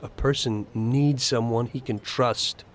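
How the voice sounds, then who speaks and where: A man's voice: mid-range voice, steady tone, unhurried, close to an everyday voice. Understated mob gunman played by an actor. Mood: somber, reflective. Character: weary, uneasy but loyal Mafia gunman. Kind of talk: scripted video game dialogue.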